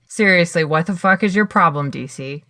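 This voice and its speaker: A woman's voice: fairly low pitch, normal speed, pushed and bright, no room sound, clean studio sound. A woman delivering a scripted comedic debate argument as a podcast panelist, in a punchy, emphatic, casually sweary way.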